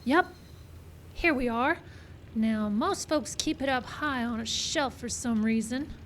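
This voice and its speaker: Woman acting a high-voiced, confident Western lady sleuth. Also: slow, a bit bright. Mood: confident, explaining. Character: Penny, sharp, confident woman sleuth of the Wild West. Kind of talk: live old-time radio mystery acting. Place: live show in a park. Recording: live outdoor stage, some background noise.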